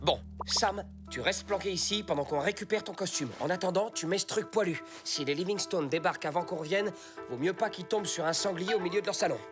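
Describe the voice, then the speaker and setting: A woman voicing a quick-witted, exasperated fox who leads an animal gang in a French cartoon, scolding and urgent. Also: fairly low pitch, music in the background, lightly acted.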